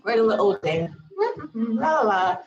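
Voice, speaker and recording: A woman's voice: mid-range voice, lively intonation, moderate pace, a little mellow, lo-fi sound. A woman of about thirty, telling a personal story casually in a chatty, slangy way full of asides. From US Virgin Islands. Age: around 30. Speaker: storytelling vlogger.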